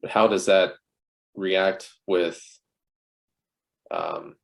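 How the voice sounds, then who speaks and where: Slow, low-voiced man, hesitant. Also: huge pitch swings, creaky. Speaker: student asking questions. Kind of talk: casual question in group coaching. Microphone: video call.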